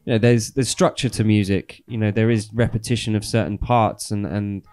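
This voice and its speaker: A man in his thirties co-hosting a radio show, chatting in a relaxed way about dance music in a small studio, talking into a handheld mic. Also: on the low side, brisk. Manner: relaxed, laughs often, rambling with restarts.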